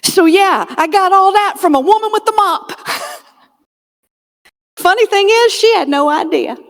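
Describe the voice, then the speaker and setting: A woman with a Southern US accent, from Georgia, gives a humorous motivational keynote on a stage mic, telling stories with dramatic pauses and near-whispered punchlines. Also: very high voice, animated, fairly bright tone. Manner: dramatic storyteller, drops to a near whisper on key lines, comic asides. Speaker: motivational keynote speaker and comedian. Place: conference hall stage. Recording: long reverb of a large hall.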